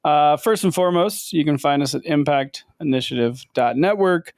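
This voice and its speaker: A man's voice: a little high, normal speed, darkish tone, no room sound, studio quality. A man co-hosting a business leadership podcast, talking casually and upbeat with lots of fillers. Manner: upbeat, filler-heavy promoting. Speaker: podcast co-host.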